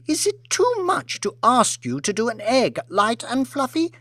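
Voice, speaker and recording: Male reader as aristocratic woman, shrill, petulant, imperious, melodramatic, dramatic pitch swings, audiobook character. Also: sing-song, unhurried, fairly bright tone, no echo, studio quality, exaggerated voice. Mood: indignant, demanding. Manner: petulant, sobbing self-pity turning to furious outrage. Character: imperious, shrill, histrionic aristocratic lady throwing tantrums.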